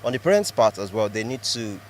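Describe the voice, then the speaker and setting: Man on a city street, lower voice, musing with ums, faint street noise behind. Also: very animated, fairly quick. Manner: thoughtful, hesitant with fillers. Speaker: street interviewee. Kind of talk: street interview.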